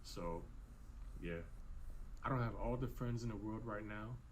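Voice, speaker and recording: A man in his twenties musing casually and haltingly about friendship, full of fillers and restarts. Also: lowish voice. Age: 20s. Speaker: vlogger in clip. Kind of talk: reflective casual monologue. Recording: faint background noise.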